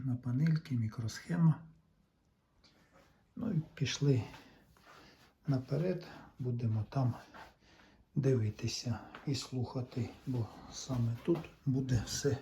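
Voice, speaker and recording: A man giving a casual, step-by-step repair demonstration, thinking aloud in an unhurried, explanatory way. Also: mid-range voice, warm tone, slight background noise. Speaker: electronics repair hobbyist narrating.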